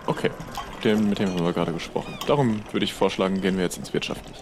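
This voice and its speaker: A man's voice: sits low, steady tone, fast, background noise. A man improvising casual, tentative dialogue as a player in an audio role-play, often asking questions.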